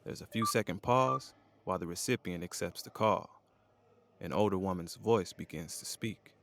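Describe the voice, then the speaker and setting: Deep gravelly male narrator. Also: normal speed, fairly bright tone. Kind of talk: scripted scene narration.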